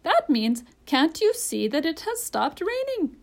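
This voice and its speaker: Bossy female storybook parrot, played by a woman: high, swooping, proud and emphatic. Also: big pitch swings, measured pace, vocal fry, heavily acted. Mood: instructive. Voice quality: high-voiced. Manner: emphatic, instructive.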